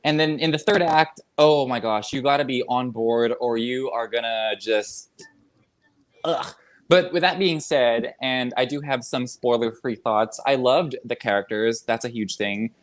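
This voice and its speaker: A man co-hosting a live book chat, giving thoughtful, analytical opinions with quick laughs. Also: medium pitch. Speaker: book club co-host.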